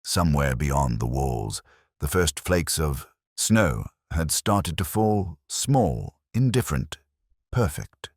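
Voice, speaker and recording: An actor playing a weary, melancholy middle-aged detective, narrating a scripted mystery in a measured, literary, sombre way. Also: male voice, rumbling low, very animated, darkish tone, dry recording, studio quality, heavily acted. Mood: grave, elegiac.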